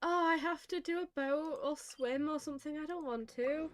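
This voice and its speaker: A woman's voice: high voice, normal speed, no echo. A British woman giving casual, sweary, exasperated commentary as she plays a video game. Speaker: video game streamer playing and reacting. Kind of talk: casual chat, let's-play commentary.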